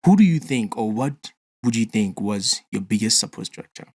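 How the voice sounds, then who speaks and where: A man's voice: on the low side, animated, moderate pace, darkish tone, slightly rough, no echo, studio quality. A man interviewing a podcast host in a casual, easygoing way, with many "you know"s and "like"s.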